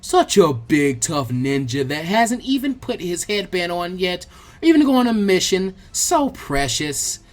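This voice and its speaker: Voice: mid-range voice, very animated, measured pace. A narrator voicing a confident teenage kunoichi in an audio story, amused, condescending and taunting. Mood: mock-sweet teasing. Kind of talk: expressive fan fiction character reading.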